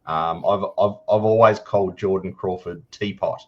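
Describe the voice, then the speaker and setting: Brief: low-voiced young co-host, relaxed and halting, slightly muffled video-call audio. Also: male voice. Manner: easygoing, hesitant with fillers. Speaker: podcast co-host. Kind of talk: casual podcast chat. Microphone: desk mic on video call.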